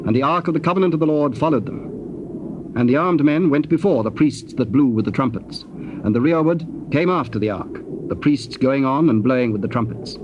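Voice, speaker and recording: A man narrating a dramatized scripture, formal and measured in his storytelling. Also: medium pitch, animated. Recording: dull old cassette, faint noise.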